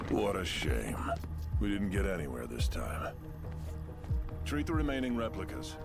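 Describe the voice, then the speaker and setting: A man's voice: low-pitched, huge pitch swings, vocal fry, heavily acted. An actor playing a callous, dismissive male overseer in a video game trailer. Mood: cold, dismissive. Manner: slow, dismissive. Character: callous male overseer treating soldiers as disposable. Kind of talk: scripted game trailer acting. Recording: trailer audio under loud music.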